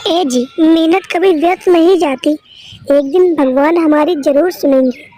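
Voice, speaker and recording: Lady doing a village farmer's wife in a cartoon, high mellow voice, patient and devout, reassuring. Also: a little slow. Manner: gently reassuring.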